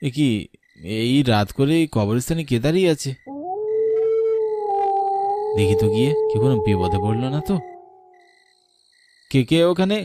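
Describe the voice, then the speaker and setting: A man voicing a condescending, easily spooked investigating officer in a horror cartoon, with an expressive, dramatic delivery. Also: medium pitch, normal speed, long pauses, a little hiss or hum, lightly acted. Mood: puzzled, uneasy.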